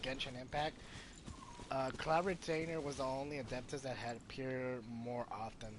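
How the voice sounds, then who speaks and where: A man's voice: mid-range voice, steady tone, normal speed, background noise. A man giving casual, chatty live commentary as he streams a video game.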